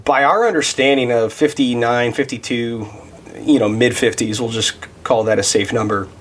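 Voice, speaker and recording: A man's voice: medium pitch, normal speed, slight background noise. A man in his thirties giving a casual devotional Bible teaching, thinking aloud with many restarts and fillers. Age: 30s.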